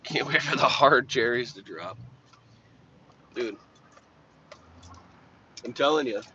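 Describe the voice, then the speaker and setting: A young man with a slightly raspy voice, chatting casually on a live stream while plowing snow and answering viewers' questions. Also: medium pitch, slow pace, bright, forceful tone. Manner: laid-back, rambling, reads chat aloud. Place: inside a truck while plowing. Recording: dull lo-fi stream audio with faint engine noise.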